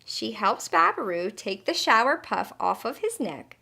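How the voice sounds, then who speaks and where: A woman storyteller reading to young children. Her voice is high and bright, with very lively intonation, and she addresses her listeners directly and expressively. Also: unhurried, vocal fry. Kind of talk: animated scripted story narration.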